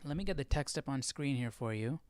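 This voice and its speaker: A man's voice: medium pitch, lively intonation, a little fast, darkish tone, a little hiss or hum. A man hosting a casual live study session, thinking aloud in a tentative, questioning way. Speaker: livestream Bible study host.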